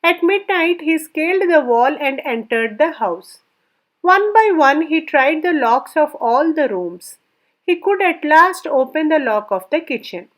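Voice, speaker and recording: A woman's voice: sits high, expressive, unhurried, low-quality recording. A woman narrating a scripted children's story as a storyteller. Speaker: storyteller, reader.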